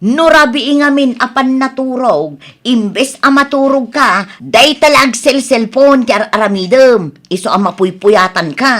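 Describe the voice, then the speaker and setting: A woman's voice: sits high, a little slow, a bit bright. A woman playing a blunt, nagging village wife in a comedic radio drama, scolding and exasperated in a conversational way.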